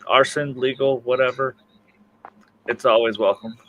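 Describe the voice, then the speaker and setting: A man's voice: medium pitch, steady tone, measured pace, a bit bright, low-quality recording. A man hosting a casual livestream panel chat in an informal, teasing way. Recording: faint background noise on livestream audio.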